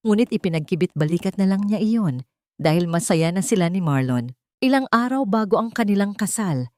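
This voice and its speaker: A woman's voice: on the low side, a little mellow, dry recording, clean studio sound. A woman narrating a scripted romantic story in an emotive, dramatic way. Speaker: narrator of romantic audio stories.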